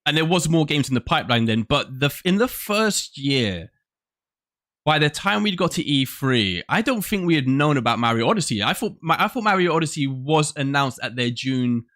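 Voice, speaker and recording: A man's voice: medium pitch, sharp tone. A man in his forties hosting a casual gaming chat show, rambling with lots of restarts and reading from the live chat. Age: 40s. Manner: rambling, many restarts, laughs often. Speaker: podcast host. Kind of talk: casual video game chat.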